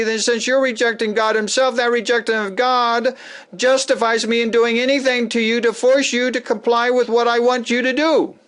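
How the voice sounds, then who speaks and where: A man's voice: extremely high pitch, a bit bright, voice pushed up. A man voicing an arrogant, domineering religious zealot in a dramatic reading, insistent, self-righteous and commanding.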